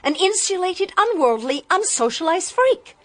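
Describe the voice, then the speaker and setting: A woman's voice: very high-pitched, very animated, moderate pace. A young woman as a restless, sheltered daughter. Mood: earnest.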